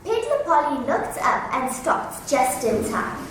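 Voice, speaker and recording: A woman's voice: sits high, sing-song, unhurried, fairly bright tone. A woman giving a scripted story narration for a readers theatre performance. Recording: very echoey room, distant mic, noisy.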